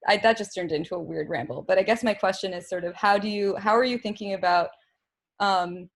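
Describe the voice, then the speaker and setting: A woman's voice: medium pitch, brisk. A woman hosting a podcast, asking long, rambling questions full of fillers and restarts. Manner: rambling, hesitant, many fillers. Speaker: podcast host. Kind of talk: casual conversation.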